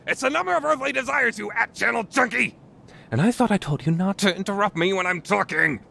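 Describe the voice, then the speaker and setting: A man's voice: high-pitched, brisk, vocal fry, sharp tone, slight background noise, heavily acted. A man playing a grandiose, self-styled mad scientist in an anime fan dub, with pompous, dramatic delivery. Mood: indignant.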